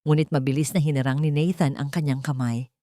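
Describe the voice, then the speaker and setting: A woman with a Filipino accent narrates a dramatic audiobook story. Her voice sits fairly low, and the recording is dry and close, with a scripted storytelling delivery. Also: fairly even intonation, fairly quick, warm tone, no echo.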